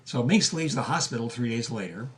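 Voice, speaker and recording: A man's voice: mid-range voice, lively intonation, fairly quick, a bit bright, a little hiss or hum. A man giving scripted storytelling narration as the narrator of a drama.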